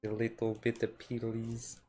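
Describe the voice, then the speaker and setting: A man chatting casually on a chill livestream, rambling and halting as he goes. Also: mid-range voice, monotone, mellow, faint music behind. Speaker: streamer.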